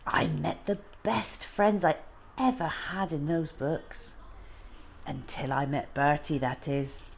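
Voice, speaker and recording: A wistful old lady, as a woman reader plays her, looks back on a lonely childhood. Her voice is low and slow, reflective and measured, in storybook style. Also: expressive, creaky, a little hiss or hum, full character voice. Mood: wistful reminiscing.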